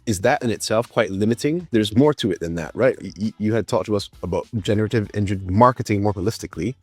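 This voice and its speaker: A man with a Barbadian accent hosting a podcast, asking halting, stammering questions over a video call. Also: lowish voice, darkish tone, dry recording. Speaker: podcast host. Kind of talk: casual video podcast conversation.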